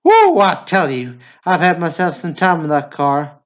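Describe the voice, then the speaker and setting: A man playing an excitable, needy, sentimental small-town in a stage drama, with a pleading, emphatic manner. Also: a little high, a little slow, dull audio. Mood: boastful, sentimental.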